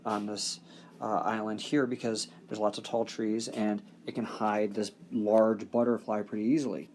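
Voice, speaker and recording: A man's voice: lowish voice, darkish tone, slight background noise. A man teaching biology in an informal recorded lecture, talking in a conversational, folksy way with joking asides.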